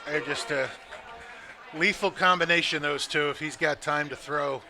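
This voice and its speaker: A man's voice: on the high side, fairly even intonation, normal speed, vocal fry, a crowd in the background. A man working as color commentator at a live football game, analyzing plays in a casual, conversational and somewhat hesitant way.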